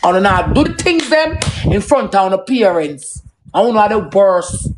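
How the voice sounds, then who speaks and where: A man's voice: high voice, lively intonation, normal speed. A man with a Jamaican accent in a combative, profane, emphatic unscripted rant on a livestream. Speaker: social media live streamer.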